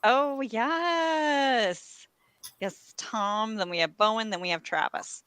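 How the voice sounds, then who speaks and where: A woman's voice: a little high, slow, fairly bright tone, clean tone, no echo. A woman hosting a live reading event, talking casually in a chatty, informal, slightly scattered way. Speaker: host of live reading show. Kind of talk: casual chat.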